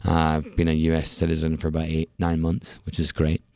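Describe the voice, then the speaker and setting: A very low-voiced man in his late thirties, born in Scotland, talking as an interview guest. He spins expressive anecdotes with wry asides and laughs, recorded close and dry in a podcast studio. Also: brisk, vocal fry, dry recording. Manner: expressive storyteller, wry jokes, frequent laughs. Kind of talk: casual interview talk.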